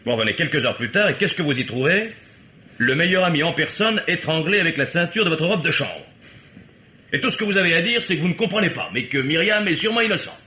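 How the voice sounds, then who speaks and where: An actor playing a blunt, sardonic private detective who argues insistently in a radio mystery play. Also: male voice, a little high, lively intonation, quick pace, sharp tone. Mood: exasperated.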